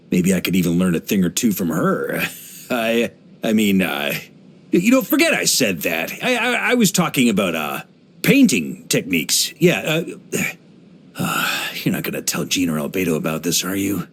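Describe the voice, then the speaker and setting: An actor playing a hearty middle-aged knight grandmaster in a video game, an easygoing adventurer who talks in a wry, conversational way. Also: male voice, sits low, breathy edge, full character voice. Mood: amused, sheepish. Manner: relaxed, wry, conversational, with chuckles and musing pauses. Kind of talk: video game character voice lines.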